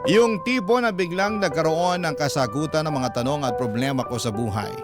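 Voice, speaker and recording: A man's voice: fairly high pitch, fairly quick, close to an everyday voice. A man playing an earnest letter sender who recounts a love story as confessional radio drama narration. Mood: earnest, reflective. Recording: loud music under the voice.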